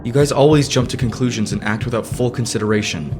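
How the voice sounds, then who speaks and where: A man's voice: medium pitch, fast talker, lightly acted. A man acting a serious, proud, hot-headed teenage boy idol in an anime fan dub, with earnest, formal phrasing. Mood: stern, indignant. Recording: loud music under the voice.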